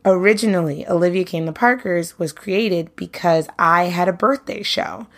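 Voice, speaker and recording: A woman's voice: fairly low pitch, normal speed, a bit bright. A young adult woman from Brooklyn, hosting her own podcast in a chatty, upbeat, casual way, full of "you know"s. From Brooklyn, New York. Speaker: podcast host, singer and bandleader. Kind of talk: casual solo podcast monologue.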